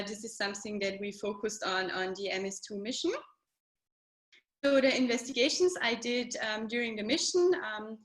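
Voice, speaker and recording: A woman explains her work as a space architect over a video call. She speaks clearly and patiently, with many ums. Her voice sits in a roomy, echoing space. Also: mid-range voice, a bit bright. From Austria. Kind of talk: webinar presentation. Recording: roomy echo.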